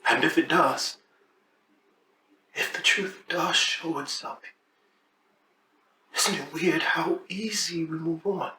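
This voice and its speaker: An actor as a brooding man, far from the mic in a very echoey room. He muses slowly in a searching stage monologue, halting and dropping toward a whisper. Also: on the high side, bright, forceful tone, full character voice. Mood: pensive, searching. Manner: halting, breathy drops into near-whisper. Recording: distant echoey room, faint noise.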